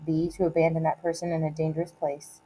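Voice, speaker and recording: A woman reading aloud like a teacher giving a test, in a measured, deliberate way with short, separated phrases. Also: low-pitched, completely flat delivery, warm tone, a little hiss or hum, poor audio. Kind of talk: read-aloud of multiple-choice questions and quoted play lines.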